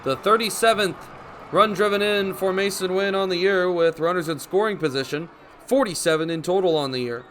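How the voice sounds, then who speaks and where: Young guy doing radio baseball play by play, high bright voice, some stadium noise behind him. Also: a little fast. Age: young adult. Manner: crisp, descriptive phrases with excited calls on big plays. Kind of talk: live sports commentary. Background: ballpark ambience.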